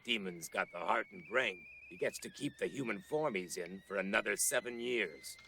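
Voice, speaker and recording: An actor voicing a streetwise, sardonic ventriloquist dummy with a gravelly, husky voice in a TV drama. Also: male voice, on the low side, lively intonation, normal speed, crowd noise. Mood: matter-of-fact. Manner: tough, sardonic, clipped. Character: wisecracking, streetwise male ventriloquist dummy, cursed demon hunter. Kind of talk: TV drama dialogue.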